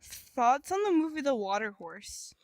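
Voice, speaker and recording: High-voiced woman, wry podcast banter. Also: sing-song, a little slow, darkish tone, no room sound. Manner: playful, wry. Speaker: podcast co-host. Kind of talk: casual comedic banter.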